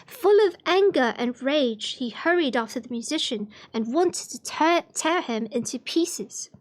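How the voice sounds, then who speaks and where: A woman reading fairy tales aloud on a livestream in an expressive storybook manner. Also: high voice, unhurried.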